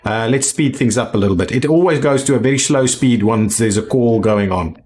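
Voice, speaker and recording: A man with a medium-pitched voice hosts a gaming video. He comments casually and haltingly, thinking aloud as he plays. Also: darkish tone. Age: adult.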